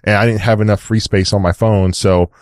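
A slightly hoarse man co-hosting a chat show, telling things in a casual, rambling, conversational way. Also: fairly low pitch, little pitch movement, fairly quick, a little mellow, dry recording, clean studio sound. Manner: rambling, frequent "like" and "you know". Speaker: co-host of a tech chat show.

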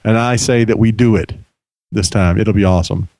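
A man with a low, slightly hoarse voice hosts a casual podcast, rambling along with plenty of restarts. He speaks close to the microphone in a dry, dead-sounding room. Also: steady tone, normal speed, darkish tone, no room sound. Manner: chatty, rambling, many restarts. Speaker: podcast host.

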